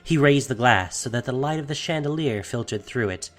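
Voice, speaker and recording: A man's voice: medium pitch, animated, brisk, faint music behind. A man narrating a fantasy audio drama from a script in a dramatic storytelling style.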